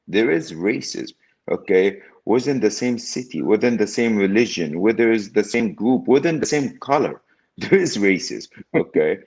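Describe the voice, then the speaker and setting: A man's voice: lowish voice, darkish tone, slightly rough. A middle-aged man from Egypt, telling his story as an interview guest in an emphatic way that repeats phrases and checks in with "okay?".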